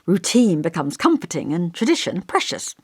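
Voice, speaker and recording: An elderly village vicar from an audiobook, acted out by a woman, kindly and long-winded. The speech is genteel and old-fashioned, a little pompous, and musing. The pitch moves around freely, and the sound is dry. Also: very animated, normal speed, husky, no echo. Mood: musing, gentle. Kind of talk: audiobook character dialogue.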